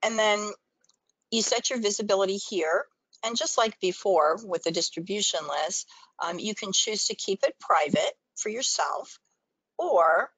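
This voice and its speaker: A woman's voice: lowish voice, a bit bright, no room sound, poor audio. A woman presenting a training webinar, explaining things step by step in an instructional screen-share style.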